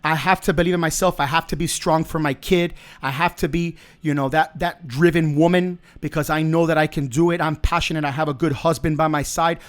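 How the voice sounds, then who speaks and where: A middle-aged man with a fairly high voice hosts his own podcast. He talks off the cuff in a blunt, joking manner and swears freely. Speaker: podcast host. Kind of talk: casual monologue.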